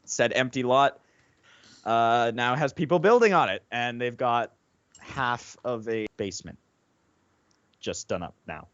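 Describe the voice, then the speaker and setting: A man with an average-pitched voice tells a story casually as a podcast co-host. The recording is dry with little room sound, and it is muffled and lo-fi. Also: a little slow, long pauses, no room sound. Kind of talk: casual storytelling among friends.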